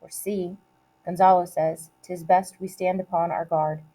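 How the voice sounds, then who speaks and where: Mellow, lowish-voiced woman reading aloud deliberately. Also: level tone, low-quality recording. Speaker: teacher reading a test aloud. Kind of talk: read-aloud of multiple-choice questions and quoted play lines.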